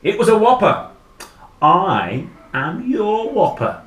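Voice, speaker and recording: A man voicing a big, hairy, greedy monster in a children's story, slow and emphatic, relishing each word. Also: high-pitched, very animated, a bit bright, reverberant, voice pushed up, full character voice. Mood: accusing. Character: big blue hairy monster, a boy's lie, greedy and bold. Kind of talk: children's storybook character reading.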